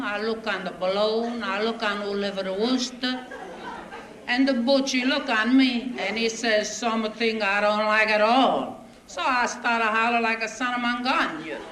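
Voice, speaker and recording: A woman's voice: fairly high pitch, close to an everyday voice. A woman comedian playing a chatty Italian immigrant woman with a thick accent and broken English, telling indignant stories. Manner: indignant, storytelling, quoting others. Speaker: stand-up comedian. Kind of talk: comic character monologue. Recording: echoey stage with audience noise. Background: audience laughter.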